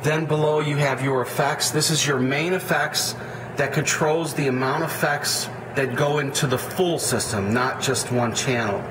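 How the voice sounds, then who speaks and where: A man's voice: mid-range voice, moderate pace. A man giving an unscripted, plain-spoken, step-by-step tutorial as an audio equipment installer. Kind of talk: unscripted product tutorial, walkthrough. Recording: echoey room with clear background noise.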